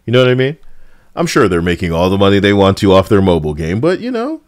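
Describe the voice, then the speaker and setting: A man with a lowish voice delivers casual gaming commentary. His intonation moves around a great deal, giving his blunt, sarcastic opinions an animated edge. The recording is dry and close, with very little room sound. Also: fast talker, no room sound. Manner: edgy, sarcastic, blunt, profane. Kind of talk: casual opinion commentary over game footage.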